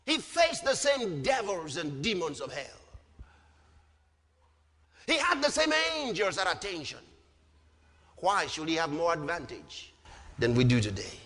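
A man's voice: a little high, big pitch swings, measured pace, pauses often, fairly bright tone. A man preaching an impassioned sermon, emphatic and repetitive, punctuated with rhetorical questions. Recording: long hall reverb.

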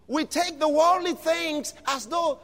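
A man preaching an impassioned sermon, shouting lines and stretching out words for emphasis. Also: very high voice, a little slow, long reverb tail.

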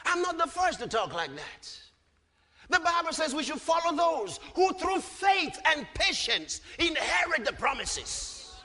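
A man's voice: very high-pitched, sing-song, unhurried, pushed and bright. A man preaching an impassioned sermon, emphatic and repetitive, punctuated with rhetorical questions. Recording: long hall reverb.

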